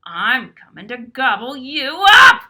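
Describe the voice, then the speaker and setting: Woman doing a loud roaring troll. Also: high voice, big pitch swings, takes it slowly, voice pushed up, heavily acted. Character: mean nasty troll under a bridge, roaring.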